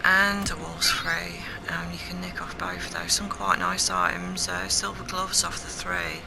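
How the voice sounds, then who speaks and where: A person giving a casual video game walkthrough in a hesitant, low-key, matter-of-fact way. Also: a little slow, vocal fry, low-quality recording. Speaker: game guide narrator. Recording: game music behind the voice. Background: video game music.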